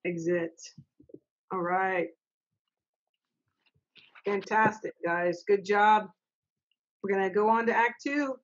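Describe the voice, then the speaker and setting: A woman's voice: medium pitch, slow, long pauses. A middle-aged woman acting as stage manager, plainly reading out script directions over a video call. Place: home office, indoors. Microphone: computer mic on a video call. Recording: dull video-call audio.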